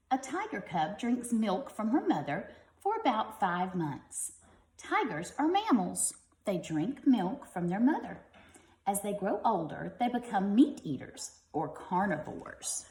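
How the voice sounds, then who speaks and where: Expressive woman reading to children, roomy sound. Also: mid-range voice. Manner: expressive, with simple explanatory asides to children. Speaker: teacher reading a children's book aloud. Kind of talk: read-aloud storytelling for young children.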